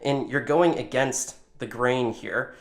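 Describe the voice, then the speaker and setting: Man, tutorial presenter, casual instructional style, informal step-by-step explanations, mid-pitched voice. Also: slow pace. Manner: informal, talks through each hand movement step by step. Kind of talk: casual hands-on instructional demonstration.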